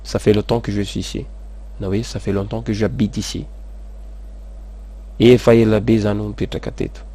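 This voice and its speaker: A man teaching English, saying model sentences slowly and switching quickly into rapid explanations. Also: lowish voice, flat delivery, warm tone. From Madagascar. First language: Malagasy. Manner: slow, careful model sentences between fast explanations. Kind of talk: language lesson, explaining in Malagasy and French.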